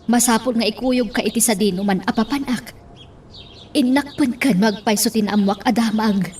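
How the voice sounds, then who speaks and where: A woman's voice: on the high side, normal speed, darkish tone, distant mic, slight background noise. A woman acting in an amateur radio drama, urgently telling someone to get ready and leave.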